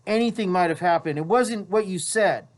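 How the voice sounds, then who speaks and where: A reader voicing a frightened boy who speaks shrilly and with rough grammar, insisting that nothing happened. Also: medium pitch, normal speed, pitched above the performer's own voice, lightly acted. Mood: shrill, defensive.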